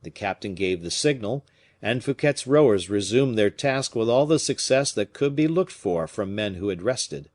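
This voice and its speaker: A man narrating a classic novel as an audiobook reader, measured and expressive. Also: on the low side.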